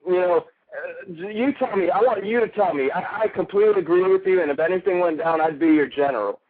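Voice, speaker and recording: Male caller with an Italian accent, crude and heated, tripping over words; high-pitched and phone-thin. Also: brisk. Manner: ranting, crude and heated, with stumbles. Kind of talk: call-in radio rant. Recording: tinny phone line.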